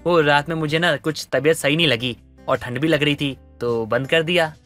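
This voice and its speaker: A man playing an exasperated young husband who complains and pleads in an animated family story. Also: fairly high pitch, moderate pace, faint music behind, close to an everyday voice. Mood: irritated. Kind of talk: animated family drama dialogue.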